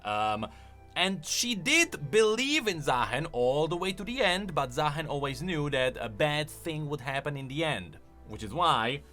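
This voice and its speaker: A man's voice: on the high side, pushed and bright. A man hosting a gaming lore video, giving casual, conversational commentary with plenty of emphasis.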